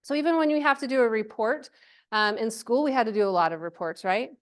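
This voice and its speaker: Female workshop presenter, higher-pitched voice, chatty and encouraging, informal delivery to a live group. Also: fast. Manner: chatty, encouraging, checks in with questions and "right?".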